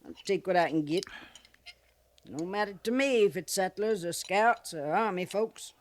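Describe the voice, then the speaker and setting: A woman's voice: medium pitch, animated, moderate pace, no echo, lightly acted. A woman playing a sly, grasping old frontier trader widow with a folksy rural Western American drawl in a 1950s radio western. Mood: crusty, sly. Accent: American rural Western dialect. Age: older. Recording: vintage 1950s radio recording.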